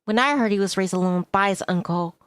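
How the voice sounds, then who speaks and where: A woman's voice: mid-range voice, quick pace, clean tone, dry recording. A woman streamer narrating a visual novel playthrough, reading the story and dialogue aloud expressively.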